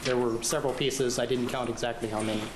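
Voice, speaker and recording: A man testifying in court as a crime scene witness, hesitant and halting with many fillers. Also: medium pitch, fairly even intonation. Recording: echoey courtroom audio with background hum.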